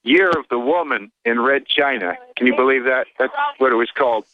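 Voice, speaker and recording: A mid-pitched male voice comes through a thin, phone-like line. He tells his story to the host plainly and steadily. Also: a little fast. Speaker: caller.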